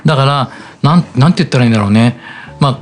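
A middle-aged man, a voice actor guest, reminiscing in a relaxed storytelling way and chuckling at himself. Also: medium pitch, rapid-fire, soft background music. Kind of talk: casual reminiscing chat.

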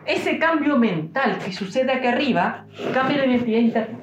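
A young man with a Chilean accent giving an informal devotional talk, musing aloud with pauses and fillers. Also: very high voice, animated, music in the background. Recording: roomy, dull-sounding room recording.

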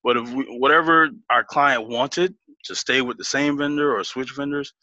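A man's voice: medium pitch, normal speed, sharp tone, no echo, muffled recording. A man as an interview guest, laughing easily and explaining things step by step with many restarts. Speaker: business guest. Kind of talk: casual interview talk.

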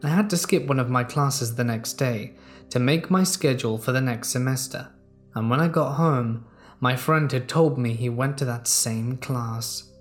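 Male narrator, mid-pitched, steady measured read of true horror stories, soft music underneath. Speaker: narrator reading submitted stories.

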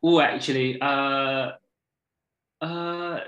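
A man's voice: medium pitch, fairly even intonation, extremely slow, a bit bright, lo-fi sound. A man in his thirties with a Malaysian accent, chatting casually as a podcast guest. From Borneo, Malaysia. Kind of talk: casual chat. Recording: echoey, roomy sound.

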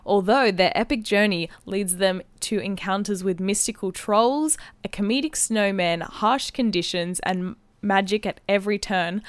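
Female podcast host, smooth and clear, chatty and giggly, close dry studio sound. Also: mid-range voice, dry recording. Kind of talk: casual chat, explaining a game. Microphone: close podcast microphone.

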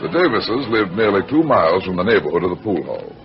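Low-voiced hard-boiled radio detective. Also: male voice, very animated, quick pace, music in the background. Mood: matter-of-fact narrating. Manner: clipped, matter-of-fact, wry. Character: male hard-boiled, wry, kindly city detective. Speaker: actor playing detective narrator. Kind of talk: old-time radio detective drama acting, first-person narration. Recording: muffled, noisy old radio recording.

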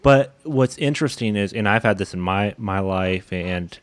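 A young man with a fairly low voice, recorded dry with no room sound. He hosts in a casual, easygoing style and meanders informally as he talks. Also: normal speed, clear, resonant tone, no room sound. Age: young adult. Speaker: podcast host. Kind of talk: casual interview chat.

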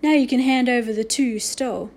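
A woman's voice: on the high side, fairly even intonation, brisk, natural voice. Woman acting a scolding, suspicious male shopkeeper. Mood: accusing. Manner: heavy, forceful, scornful scolding. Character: angry, suspicious, middle-aged male shopkeeper, scolding and accusing.

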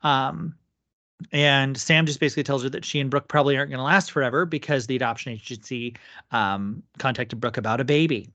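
A woman's voice: very deep voice, brisk, a bit bright, dry recording, muffled recording. Woman recapping casually in a dry, close sound. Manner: agreeable, frequent short affirmations. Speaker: podcast co-host.

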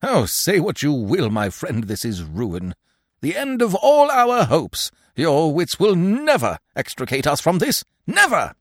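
Man acting a bombastic, angry big man, pitched high. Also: wildly swooping pitch, a little slow, no echo, clean studio sound, full character voice. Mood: bombastic, contemptuous. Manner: bombastic, contemptuous, declamatory. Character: huge, angry, pompous bourgeois theatrical father.

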